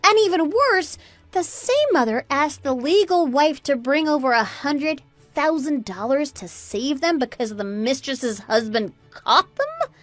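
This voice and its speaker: A high, closely recorded female voice over a music bed, a woman acting a defiant daughter-in-law in a radio drama. She is sarcastic and taunting, full of scorn for her in-laws. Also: big pitch swings, unhurried, dry recording. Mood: scornful, indignant. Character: scornful, fed-up adult daughter-in-law, Anna, defiant and mocking. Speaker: voice actor in an online radio drama. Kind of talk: melodramatic radio drama dialogue.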